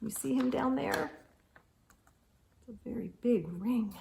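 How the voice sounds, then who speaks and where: A woman's voice: high-pitched, a little slow, gravelly, background noise. A woman reading a children's storybook aloud as host, expressively and with emphasis, speaking directly to young listeners.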